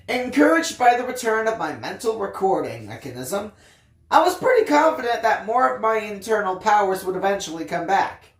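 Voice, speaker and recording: Animated story reader in an echoey room. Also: medium pitch. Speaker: audiobook reader, story time host. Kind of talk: expressive first-person story narration.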